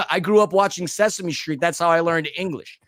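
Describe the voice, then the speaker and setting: A man whose first language is Romanian, raised in New York, talks in a fairly high voice. He chats enthusiastically on a podcast panel and wanders off on tangents. The recording is dry and close, with no room sound. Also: normal speed, a bit bright, no room sound. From Queens, New York. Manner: enthusiastic, chatty, digressive. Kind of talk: casual podcast discussion.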